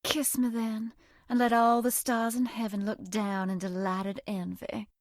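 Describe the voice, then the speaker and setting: Sweet, high-voiced Southern belle. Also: female voice, level tone, a little slow, no room sound, clean studio sound. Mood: tender, lovestruck. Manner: coy, folksy, old-fashioned endearments. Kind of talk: dating game character voice acting.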